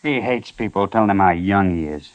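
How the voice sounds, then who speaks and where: A man's voice: low voice, brisk, muffled recording, natural voice. A man playing an earnest, worried young rancher, folksy and deferential, in an old radio western. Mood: somber. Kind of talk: 1950s radio western acting.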